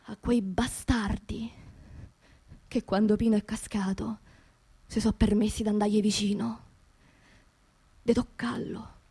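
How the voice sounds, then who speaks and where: A woman's voice: medium pitch, flat delivery, measured pace, long pauses, mellow, breathy edge. An actress playing an angry, grieving working-class Roman woman in a theatrical radio monologue, colloquial and bitter, demanding justice. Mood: bitter, hushed. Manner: impassioned, colloquial, bitter rhetorical questions.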